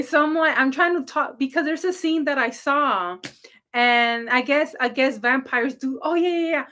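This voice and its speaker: A woman hosting a casual livestream, telling a story with a naturally loud voice, rambling and laughing between throat clears. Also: fairly high pitch. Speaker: livestream host, spiritual reader.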